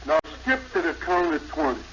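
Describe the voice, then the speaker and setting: A punch-drunk old-radio boxing trainer rambles dazed and halting in a creaky voice that lurches up and down. The recording is muffled and noisy. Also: male voice, sits high, very animated, fairly bright tone, exaggerated voice. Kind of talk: 1950s radio comedy acting.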